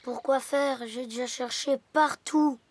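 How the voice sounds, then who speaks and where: A woman's voice: high voice, fairly even intonation, a little slow, husky. A woman voicing a naive, good-hearted young boy hippo in a children's cartoon, childlike and earnest, with music behind. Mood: dejected.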